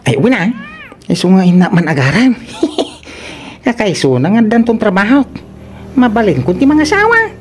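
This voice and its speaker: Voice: mid-range voice, big pitch swings, normal speed, slight background noise, natural voice. A performer with an Ilocano accent playing a lazy, boastful, lovestruck grown son, cheeky and whiny, in a comedy radio drama. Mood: cocky.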